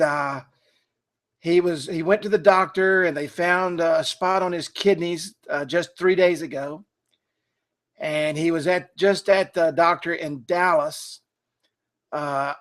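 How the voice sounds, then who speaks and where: A hoarse man, talking casually and rambling with fillers while he hosts a live online training from his desk. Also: on the high side, a bit bright. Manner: rambling, chatty, many fillers and restarts. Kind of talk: casual live webinar talk.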